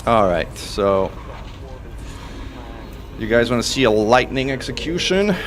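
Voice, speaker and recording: A man from Italy whose first language is Italian, giving excitable live commentary as he plays, talking through each move. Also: medium pitch, measured pace. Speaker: streamer and commentator.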